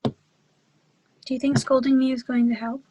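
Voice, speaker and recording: A woman's voice: high-pitched, little pitch movement, unhurried, dark tone, slightly rough, dry recording, rough recording. A young woman acting a timid, torn young woman in love in a stage melodrama, hesitant and earnest. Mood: timid, defensive. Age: young adult. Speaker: student actor. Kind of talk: stage acting in a one-act period melodrama.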